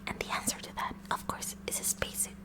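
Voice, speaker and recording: A woman voicing a kooky, eager high school science club president in a whispered ASMR roleplay, rambling and halting in a nerdy way as she addresses the listener. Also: lightly acted. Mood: curious, intent.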